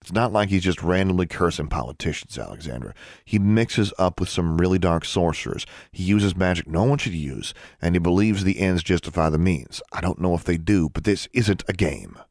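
Man playing a low, brooding teenage older brother. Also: moderate pace, a little mellow, no echo, studio quality. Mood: weary, earnest. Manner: muttering, weary, clipped.

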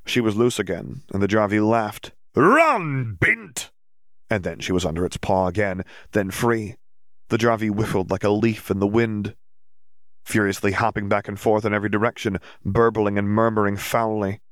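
Low-voiced man narrating a story. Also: flat delivery, moderate pace, clear, resonant tone, no room sound. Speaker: audiobook narrator.

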